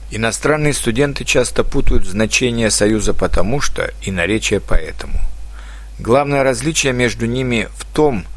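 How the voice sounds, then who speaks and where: A man teaching a grammar lesson to foreign learners, reading example sentences slowly and carefully and letting his pitch fall at the end of each example. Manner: slow, careful dictation-like delivery. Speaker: Russian language teacher.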